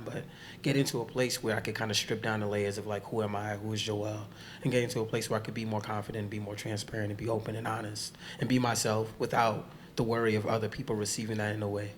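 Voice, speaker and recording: Hoarse New man, laid-back storyteller. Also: lowish voice, quick pace, slight background noise. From the Bronx, New York. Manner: relaxed, slangy storytelling with many fillers. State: hoarse, losing his voice. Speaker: podcast guest. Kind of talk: casual conversation.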